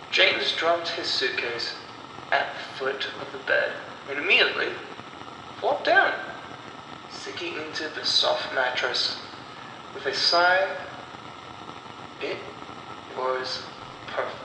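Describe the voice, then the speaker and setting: A man reading a scripted horror story as storyteller, in a deliberate, dramatic way with pauses between short phrases. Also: medium pitch, animated. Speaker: storyteller and narrator of horror stories. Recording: roomy echo, distant mic, clear background noise.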